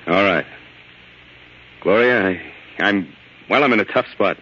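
An actor playing an earnest lawyer husband with a hesitant, melodramatic delivery in 1940s radio drama style. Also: male voice, lowish voice, measured pace, sharp tone, breathy edge, exaggerated voice. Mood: nervous, evasive. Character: smooth-talking lawyer husband feigning worried innocence. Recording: dull old radio broadcast.

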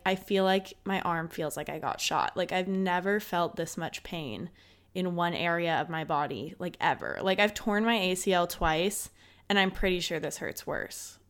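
A woman's voice: fairly low pitch, normal speed. A woman in her twenties hosting her own podcast, talking casually and candidly with frequent "like" fillers. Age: 20s. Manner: chatty, frequent "like", candid and upbeat. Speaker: podcast host. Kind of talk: casual solo podcast chat.

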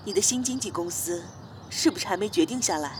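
A woman acting in an audio drama as a gentle, considerate middle-aged mother, speaking in a measured, soft manner. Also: medium pitch, expressive, background noise, natural voice. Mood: gentle, tactful.

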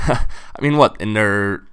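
A man co-hosting a sports podcast in casual chat, weighing things up with measured questions. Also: fairly low pitch, a bit bright, smooth. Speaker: podcast co-host.

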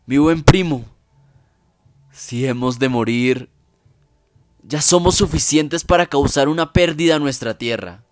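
A man performing a dramatic Shakespeare monologue as a warrior king rallying his army, solemn and declamatory with weighty pauses. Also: mid-range voice, no room sound. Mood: solemn, resolute.

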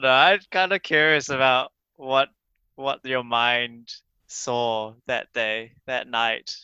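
A man's voice: mid-range voice, fairly even intonation, slow, bright, forceful tone, smooth, no room sound, lo-fi sound. A young man co-hosting a podcast, teasing the others in casual chat. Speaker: podcast co-host.

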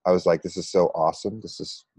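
Very deep, even-toned American man. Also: fast, breathy edge, dry recording. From United States. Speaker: interview guest, meditation coach. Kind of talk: conversational personal storytelling.

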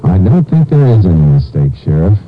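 Texas Ranger, low pitch, clipped, authoritative, determined, 1950s radio drama, narrow vintage broadcast sound. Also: male voice, big pitch swings, a little fast, a little hiss or hum. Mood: grim, narrating. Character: determined Texas Ranger, hard-driving and businesslike lawman. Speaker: lead actor and narrator of radio drama. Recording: muffled 1950s radio recording, music behind.

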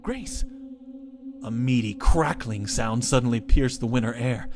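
A man's voice: mid-range voice, steady tone, moderate pace. A man reading his own first-person short story as dramatic scripted narration.

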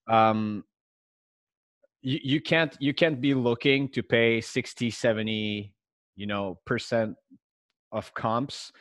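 A man's voice: mid-range voice, a bit bright, no room sound. A man whose first language is French, giving casual market commentary as a guest investor and collector.

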